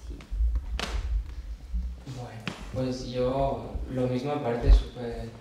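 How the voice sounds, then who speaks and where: A man's voice: mid-range voice, level tone, takes it slowly, background noise. A man from Catalonia, a forum theatre participant, talking earnestly at an open forum. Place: theatre auditorium. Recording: echoey hall, voice from far off.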